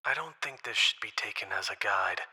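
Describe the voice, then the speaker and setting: A man playing an isolated, reflective man recording a farewell message, speaking solemnly and deliberately with breathy phrase endings. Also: fairly low pitch, lively intonation, pushed and bright, exaggerated voice, poor audio. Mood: resolute, somber. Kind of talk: scripted dramatic monologue, recorded farewell message. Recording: voice over music.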